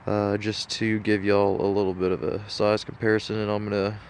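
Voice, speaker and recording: Dude, nose all stuffed up, kinda low flat voice, rambly, lotta ums. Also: male voice, fairly quick, a little hiss or hum. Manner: laid-back, rambling with many fillers. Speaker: diecast model collector vlogger. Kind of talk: casual walkthrough talk.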